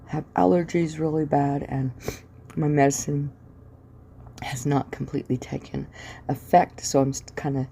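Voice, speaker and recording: A woman's voice: low-pitched, level tone, moderate pace, gravelly, long pauses, warm tone, slight background noise. A woman hosting a craft tutorial, chatting casually as she works, with a sniffly nose and a rambling delivery full of ums and uhs. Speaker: craft channel host.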